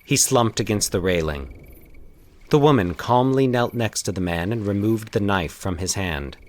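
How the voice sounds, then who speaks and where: Low-voiced man, animated horror narration. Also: normal speed. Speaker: storyteller, podcast narrator. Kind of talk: scripted horror fiction narration.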